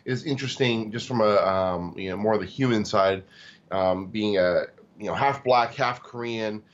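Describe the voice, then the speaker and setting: Man, forties, low-pitched, halting with fillers, muffled lo-fi sound. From Tacoma, Washington, US. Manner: halting, many fillers and restarts. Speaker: guest, cybersecurity executive. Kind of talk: interview conversation.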